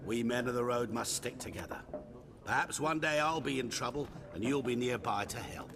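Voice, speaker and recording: An actor playing a sly wandering merchant in a fantasy game, florid and courteous, ingratiating and teasing. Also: male voice, medium pitch, moderate pace, natural voice. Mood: matter-of-fact, genial. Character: sly, humble-seeming wandering male merchant, theatrical and courteous. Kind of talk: fantasy video game acting. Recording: game dialogue with clear background noise.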